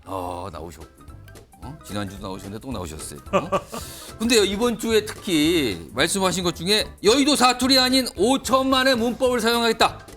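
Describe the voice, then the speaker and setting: A man's voice: high voice, animated, a little fast, bright, forceful tone, soft background music. A man hosting a political talk show, steering the panel with teasing, playful questions. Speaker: news talk show anchor.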